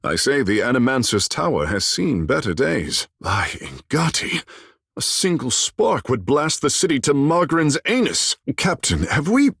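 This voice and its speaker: A vain, flirtatious fish-folk mystic from a fantasy video game. His delivery is courtly and theatrical, swooping widely in pitch with playful wit. The voice has a breathy husk and sounds close and dry. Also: very animated, a little slow, fairly bright tone, no room sound, full character voice. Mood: wry, uneasy. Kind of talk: scripted video game companion dialogue.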